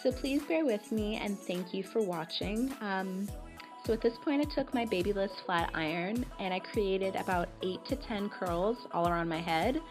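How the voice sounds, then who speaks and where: A woman giving casual voice-over narration for a hair tutorial, sounding slightly raspy and tired from a cold, explaining in a relaxed, chatty way. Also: mid-range voice, normal speed. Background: background music.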